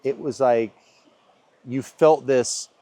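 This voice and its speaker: An American man interviewing a painter, asking warm leading questions in an easy conversational way. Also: medium pitch, steady tone, slow pace, no room sound. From United States. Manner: friendly, leading questions, talks over answers.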